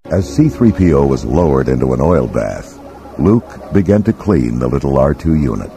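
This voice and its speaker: A man gives dramatic, scripted storybook narration. His voice is very deep and moves widely in pitch, with music clearly playing underneath. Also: big pitch swings, moderate pace.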